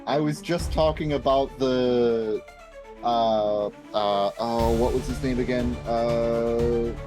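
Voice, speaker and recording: A man's voice: medium pitch, fairly even intonation, takes it slowly. A man chatting casually as a player in a tabletop role-playing game. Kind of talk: casual chat among players. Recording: music playing behind the voice.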